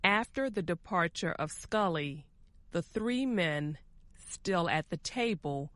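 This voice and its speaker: A woman narrating a short story for English learners with deliberate, careful phrasing. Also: lowish voice, animated, a bit bright, no echo.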